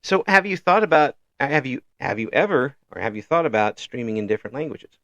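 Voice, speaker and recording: Male American interviewer, medium pitch, jokey and halting, very dry close recording. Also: lively intonation, a bit bright, no room sound, dull audio. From United States. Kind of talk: casual chat interview.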